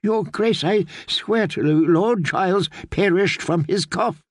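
A man's voice: high-pitched, slow, no echo, studio quality, voice pushed up, exaggerated voice. A man voicing a frightened elderly male court healer who stammers and pleads in an audiobook. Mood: fearful. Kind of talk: audiobook character voice.

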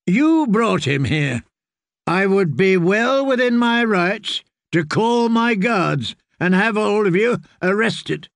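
A man acts out a cunning fantasy lord for an audiobook. The voice is raised in pitch and unhurried, with a creaky edge. He speaks formally and emphatically, cold and in command. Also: expressive, no echo, studio quality. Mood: cold anger. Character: cunning nobleman, coldly angry, commanding, smooth in bargaining. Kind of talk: dramatic audiobook character voice.

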